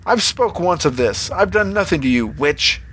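A man's voice: medium pitch, moderate pace, a bit bright, pitched above the performer's own voice, lightly acted. A man voicing a desperate, protective farmer and father in a storybook reading, earnest and pleading. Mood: defiant.

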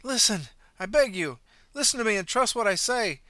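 A man's voice: sits high, expressive, moderate pace, bright, forceful tone, no echo, pitched above the performer's own voice. A man voicing a panicked, breathless man begging to be left alone as he runs for his life. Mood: desperate pleading. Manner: pleading, frantic, breathless.

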